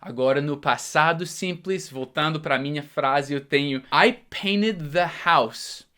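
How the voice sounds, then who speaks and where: A man's voice: on the high side, pushed and bright, clean tone. Man teaching English grammar. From United States. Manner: explains patiently, slows down for example sentences. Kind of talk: bilingual grammar lesson.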